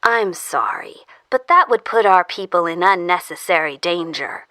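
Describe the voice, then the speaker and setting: Actress as unseen official captor in audio fiction: controlled, formal, slightly low, bright tone, dry sound. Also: female voice, expressive, no echo. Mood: firm, formal. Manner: measured, formal.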